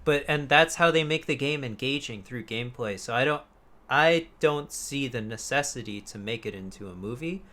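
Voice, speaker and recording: A young man with a bright, forward voice talks as a podcast co-host. His delivery is informal and rambling, peppered with swearing, in the manner of a casual gaming chat. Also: medium pitch, moderate pace. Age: young adult. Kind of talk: casual chat about video games.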